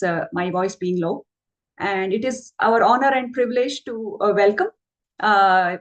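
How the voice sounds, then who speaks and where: A woman hosting an online class, politely introducing the speaker with frequent fillers over a video call. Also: medium pitch. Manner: hesitant, many fillers, polite. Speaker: session host.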